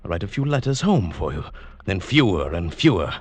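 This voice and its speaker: An actor playing a scheming professor who narrates his own wife-murder, in a smooth, quietly gloating 1940s radio drama style. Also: low-pitched, very animated, a little fast, a little hiss or hum. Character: scheming middle-aged male professor, cold wife-murderer. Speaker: narrator and lead character. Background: music.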